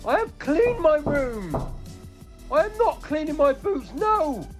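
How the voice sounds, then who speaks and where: A man plays a petulant young football manager who sounds like a sulking teenager, his voice riding high and swooping wildly up and down. He whines through his answers, drawls slowly, and flares into shouting at his mum, all in a comedy podcast sketch. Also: very animated, music in the background, exaggerated voice. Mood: sulky.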